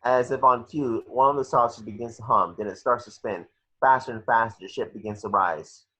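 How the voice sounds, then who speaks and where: A man's voice: mid-range voice, brisk, sharp tone, husky. A man reading screenplay action descriptions at a table read, stumbling a little over the script, on a lo-fi home recording.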